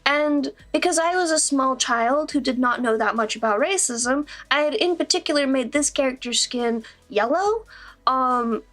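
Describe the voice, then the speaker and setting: High-voiced chatty American streamer woman. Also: animated, a bit bright, faint music behind. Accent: American, mostly neutral with Southern-Midwestern traces. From Midwestern United States. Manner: rambling, chatty, self-deprecating, quick to laugh. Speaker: streamer and digital artist. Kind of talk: casual livestream chat.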